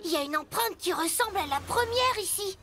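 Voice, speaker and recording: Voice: extremely high pitch, animated, fairly quick. A performer voicing a small, eager, childlike talking truck in a French children's cartoon, with a playful delivery. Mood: investigating. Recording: music bed behind the voice.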